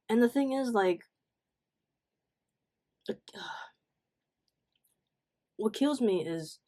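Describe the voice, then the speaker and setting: Expressive woman telling a personal story. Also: mid-range voice. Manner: animated, emphatic, acts out reported dialogue; informal slangy talk with swearing. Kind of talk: casual confessional storytime chat.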